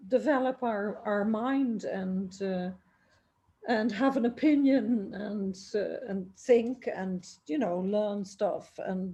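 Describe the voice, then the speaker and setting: A woman's voice: fairly high pitch, dark tone. A middle-aged woman from the Netherlands, a singer and writer, telling her family story in a rambling way with many restarts, speaking from home. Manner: rambling, restarts often, trails off. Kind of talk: remote interview, conversational storytelling. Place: home in Dublin, near a busy road.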